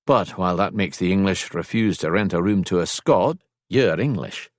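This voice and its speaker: A man voicing a gruff, wry, protective Scottish Highlander in an audiobook, with a Scottish accent. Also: expressive, moderate pace, dry recording, studio quality. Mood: concerned. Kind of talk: audiobook character dialogue.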